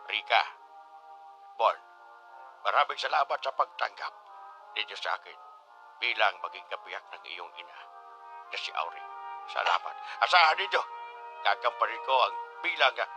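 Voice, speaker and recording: A man's voice: on the low side, expressive, normal speed, pauses often, over music. A man playing a grateful, sentimental older groom and stepfather in a Tagalog radio drama. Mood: grateful, solemn.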